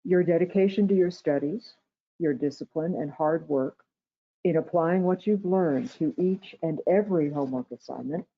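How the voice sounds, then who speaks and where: A soft-voiced woman giving a prepared ceremonial welcome speech as an education director. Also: low voice, normal speed. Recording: tinny, phone-like online meeting audio.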